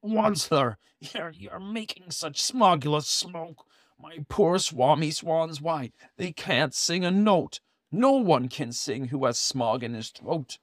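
A man's voice: fairly high pitch, lively intonation, slow, no room sound, clean studio sound, voice pushed up. A man voicing a small, cranky male forest creature who scolds in rhyme, read from a storybook. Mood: scolding. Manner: scolding, indignant rhyming speech. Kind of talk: storybook reading aloud.

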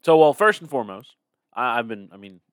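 A man's voice: mid-range voice, big pitch swings, a little fast, a little hiss or hum. A young man getting over a cold, chatting casually as a podcast co-host. He is tired, yawns, rambles and laughs often. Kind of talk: casual chat.

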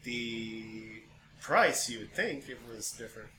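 A man co-hosting a podcast, chatting casually in a jokey, animated and rambling way. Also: medium pitch, a little slow. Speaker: podcast co-host. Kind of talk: casual chat. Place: small room, podcast setup. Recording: distant echoey mic, background noise.

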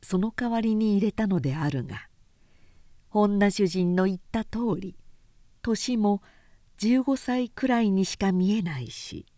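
A woman reading literary fiction aloud as a narrator, in a measured, composed and articulate way. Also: mid-range voice, expressive, mellow, no room sound, studio quality. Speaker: narrator, reader.

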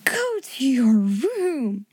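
A woman's voice: fairly high pitch, lively intonation, moderate pace, mellow, no echo. A woman reading a storybook in the role of a strict, haughty schoolmistress, imperious and breathless with indignation. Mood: furious.

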